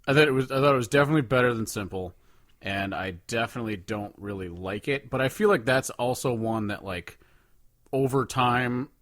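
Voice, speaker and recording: A man's voice: mid-range voice, brisk, fairly bright tone, no room sound, clean studio sound. A man co-hosting a podcast in casual conversation, opinionated and informal, swearing freely. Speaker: podcast co-host. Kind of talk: casual chat.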